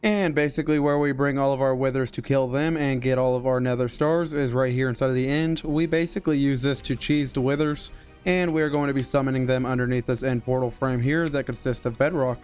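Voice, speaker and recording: A young man narrating a gameplay walkthrough in a steady, explanatory way, his voice a little strained from being sick, speaking into a headset mic. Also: mid-range voice, a little fast, faint music behind. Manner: explanatory, steady tutorial delivery. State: recovering from illness, voice strained. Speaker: game streamer.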